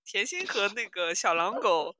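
A bright, forceful-sounding woman recorded very dry. She chats casually as a podcast co-host, at an average pitch for a woman. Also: sing-song, normal speed, no echo. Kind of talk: casual chat about audio dramas.

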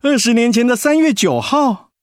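Animated Taiwanese grandpa, dry studio. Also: male voice, very high voice, normal speed, smooth, no room sound. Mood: puzzled, admiring. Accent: Taiwanese Mandarin. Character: retired grandfather, doting practical good-humoured husband. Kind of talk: radio drama acting.

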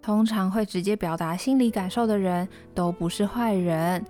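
Woman acting a young female dorm mate, caring, thoughtful, mid-pitched, Taiwanese Mandarin, music underneath. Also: normal speed, warm tone, lightly acted. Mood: gentle, earnest. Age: young adult. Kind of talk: radio drama acting.

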